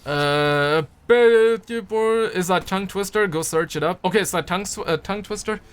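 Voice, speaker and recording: A man's voice: high-pitched, moderate pace, clean tone. A young man from Pakistan, chatting casually on a livestream and answering viewer comments in a rambling, playful way that repeats words. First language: Urdu.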